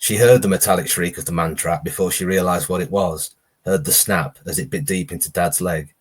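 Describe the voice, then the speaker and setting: Deep, husky-voiced man reading horror fiction. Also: a little fast. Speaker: author reading own short story.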